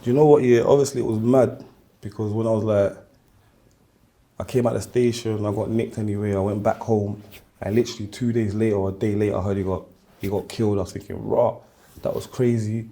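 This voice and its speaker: A man's voice: lowish voice, steady tone, fairly quick, mellow. A man with a South-East London accent chatting casually as a podcast guest, talking in slangy street style. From Woolwich, London; born in France. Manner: slangy, uses tags like "innit" and "you get me".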